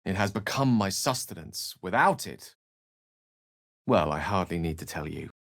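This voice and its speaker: Scholarly wizard, fantasy game, lowish pitch, very animated intonation, articulate, wordy, drily witty, theatrical. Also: male voice, moderate pace, gravelly, no room sound, clean studio sound, full character voice. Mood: measured, thoughtful. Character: eloquent, scholarly male human wizard with dry wit. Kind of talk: fantasy video game voice acting.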